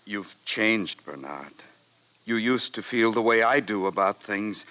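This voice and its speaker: A man's voice: fairly low pitch, expressive, measured pace, pushed and bright. An actor in a 1950s radio drama playing an earnest, anguished, idealistic young male outsider. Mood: earnest, weary. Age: young adult.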